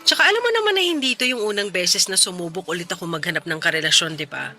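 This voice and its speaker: A very bright, lively smitten young-sounding Filipino woman in a radio drama. She is coy and playful with her best friend, her pitch swinging freely, with music behind her. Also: fast talker. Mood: hopeful. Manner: bubbly, coy, playful, girlish. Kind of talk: Tagalog radio drama dialogue. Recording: music bed behind the dialogue.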